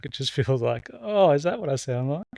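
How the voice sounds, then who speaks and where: Calm man with a gravelly voice. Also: fairly high pitch, brisk, no echo, studio quality. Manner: calm, easy-going interviewer who asks open questions. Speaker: podcast host. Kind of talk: casual chat.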